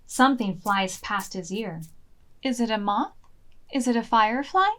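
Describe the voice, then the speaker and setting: A woman hosting a children's storytime, reading picture-book lines aloud in an expressive, measured way that softens at times. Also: a little high. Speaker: children's library storytime host.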